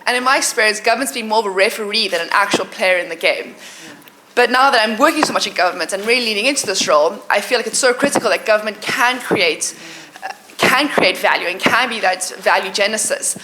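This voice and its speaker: A young woman from South Africa stands in the audience and asks the panel a question. She talks fast, with a bright, forceful voice, enthusiastic and self-assured. Faint clicks and noise sit behind her. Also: medium pitch, low-quality recording. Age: young adult. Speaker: audience member asking a question. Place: lecture hall audience.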